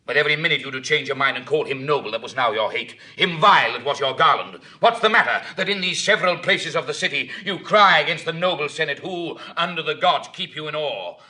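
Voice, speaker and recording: Haughty roman war hero, super sharp forceful voice, shakespeare, pure contempt. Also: male voice, fairly high pitch, expressive, fairly quick, few pauses. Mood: scornful fury. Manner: declamatory, scathing. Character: proud, contemptuous Roman warrior nobleman. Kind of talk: classical Shakespearean stage acting.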